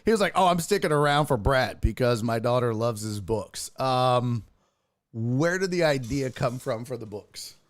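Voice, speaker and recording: A man's voice: mid-range voice, lively intonation, moderate pace, no echo. Man hosting a casual, sweary chat, close dry sound. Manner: profane, slangy, addresses guest as dude. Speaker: talk show host and comic.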